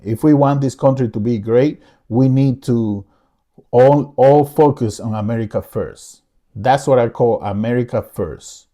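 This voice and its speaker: Earnest middle-aged man with mellow voice. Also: mid-range voice. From Dominican Republic. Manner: earnest, repetitive, frequent restarts. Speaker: vlogger. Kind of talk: casual opinion monologue.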